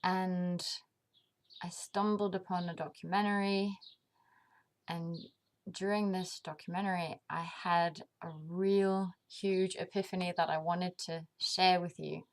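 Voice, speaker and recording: Woman from denmark, unhurried and reflective, lowish voice, casual vlog talk. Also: flat delivery. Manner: thoughtful, pauses often with ums, laughs at herself. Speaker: vlogger. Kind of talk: casual reflective monologue to camera.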